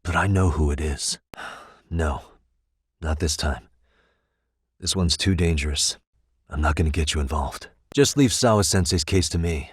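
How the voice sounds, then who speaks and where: A man acting a determined, streetwise private detective in a video game, with an American accent and a measured, probing, bluntly persuasive way of talking. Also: extremely low pitch, slightly rough, no echo, clean studio sound. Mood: grave, resolute.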